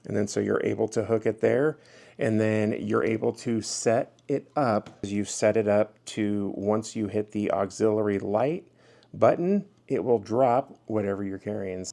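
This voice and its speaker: Man, low pitch, gravelly fry, casual product reviewer, hands-on demonstration talk. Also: a little slow, warm tone. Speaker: product reviewer, channel host.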